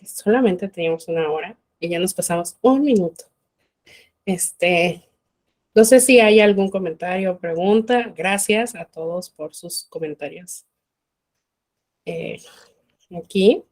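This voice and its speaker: A woman's voice: fairly low pitch, a little slow, long pauses, a little mellow. A woman giving an informal university law talk, conversational and full of fillers. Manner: conversational, many fillers like "este". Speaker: law lecturer.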